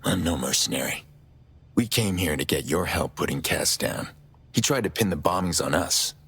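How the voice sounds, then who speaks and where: An actor playing a terse, hard-bitten male underground vigilante in a video game, with a gravelly, husky, grim delivery. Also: a bass voice, animated, moderate pace, a bit bright, full character voice. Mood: curt, defiant. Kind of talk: video game cutscene acting.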